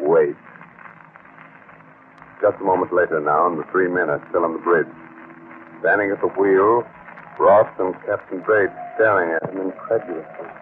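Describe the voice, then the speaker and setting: An actor playing a sinister, teasing host who narrates a vintage radio mystery. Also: male voice, deep voice, steady tone, normal speed, long pauses, natural voice. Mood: mocking. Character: sinister, teasing horror-show host taunting listeners. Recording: tinny, noisy old-time radio recording.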